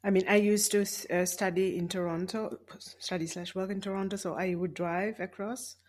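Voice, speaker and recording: A woman's voice: medium pitch, steady tone, moderate pace, darkish tone. A woman from India hosting a podcast, talking casually and thinking aloud, with frequent hesitations as she frames her questions. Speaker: podcast host. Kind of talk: casual interview conversation.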